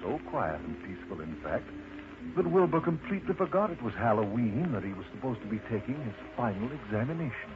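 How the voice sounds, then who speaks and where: Expressive male storyteller on noisy recording. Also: mid-range voice, brisk. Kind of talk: children's storybook narration. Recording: muffled lo-fi recording with loud noise.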